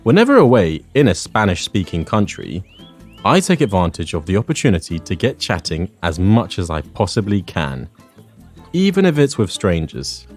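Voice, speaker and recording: A man with a London accent, hosting a Spanish lesson and speaking clearly, at a teaching pace. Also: sits low, wildly swooping pitch, faint music behind. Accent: London English. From England. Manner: friendly, clear, teaching pace with repeat-after-me pauses. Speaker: language course host. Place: outdoors in a public garden.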